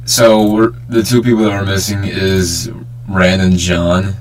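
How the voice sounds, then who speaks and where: A man's voice: sits low, level tone, measured pace, rough recording. A young man hosting a casual, joking group podcast chat with plenty of banter. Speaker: podcast host. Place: remote group call.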